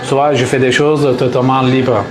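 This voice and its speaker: A man from Istanbul with a Turkish accent, explaining his paper marbling craft to visitors in his small workshop, in halting French with many pauses. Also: mid-range voice, little pitch movement, roomy sound, a crowd in the background, rough recording. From Turkey (Istanbul).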